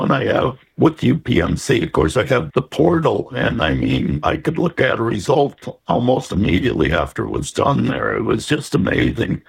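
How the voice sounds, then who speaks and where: A man's voice: lowish voice, creaky, slightly rough. An older man recalling his own experience in a casual, plainspoken way. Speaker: interview guest, transplant recipient. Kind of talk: casual personal recollection.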